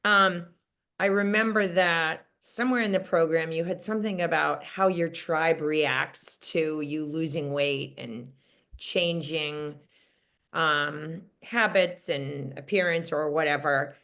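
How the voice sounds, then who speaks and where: A woman with a fairly low voice tells a story on a group call. She talks in a chatty, wry way with plenty of fillers. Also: steady tone, slow, fairly bright tone. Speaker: caller, teacher. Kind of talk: sharing on a coaching call.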